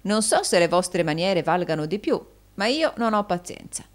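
A woman's voice: fairly low pitch, big pitch swings, normal speed, pitched above the performer's own voice. A woman voicing a cold, impatient businessman in an audiobook, speaking in a dry, cutting manner. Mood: sarcastic.

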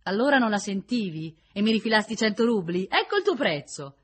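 A woman's voice: mid-range voice, big pitch swings, brisk, pushed and bright, voice pushed up. A woman voicing a bitter, drunk woman prisoner in a storybook reading, scornful and confrontational. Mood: drunken, mocking anger.

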